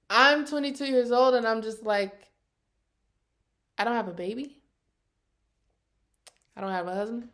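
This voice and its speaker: An American woman in her early twenties chatting casually to her vlog viewers in an animated, sassy, slangy storytelling way. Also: fairly high pitch, a little slow, pauses often. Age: early 20s. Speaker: vlogger.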